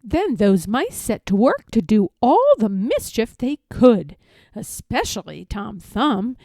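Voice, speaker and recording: A woman reading a children's story aloud in an expressive, measured, emphatic storytelling manner. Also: a little high, no room sound, clean studio sound. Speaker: storybook reader.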